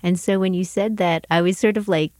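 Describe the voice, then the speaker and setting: A woman with a fairly low voice conducts an arts interview. She is articulate and earnest, and the recording is very dry with no room sound. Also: fairly even intonation, fairly quick, a little mellow, no room sound. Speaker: interviewer and exhibition curator. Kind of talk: scripted introduction and conversational interview.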